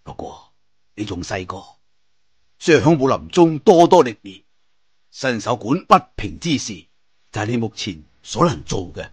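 A Cantonese man voicing a righteous martial-arts leader with grave, measured oratory in an audio storybook. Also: fairly low pitch, sing-song, a little mellow, husky, no echo, full character voice. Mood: approving, grave.

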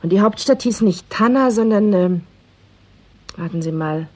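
A woman's voice: mid-range voice, lively intonation, normal speed, warm tone, natural voice. An actress playing a self-assured local woman patiently explaining things in a 1960s German radio play.

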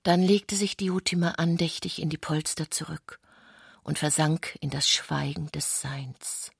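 Eine Frau spricht in einem literarischen Hörspiel eine ruhige, andächtige Erzählerin. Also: female voice, sits low, a little slow, vocal fry, breathy edge, no room sound, clean studio sound, heavily acted. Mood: solemn, hushed. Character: quiet, solemn female storyteller of a bedtime scene. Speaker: narrator in a literary radio play. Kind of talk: literary radio drama narration.

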